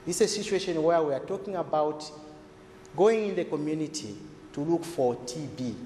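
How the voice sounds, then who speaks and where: A man's voice: a little high, normal speed, mellow. A man giving a didactic explanation as a health expert, repeating points and asking rhetorical questions. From Zambia. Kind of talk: explanatory talk to camera. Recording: echoey reverberant room, faint noise.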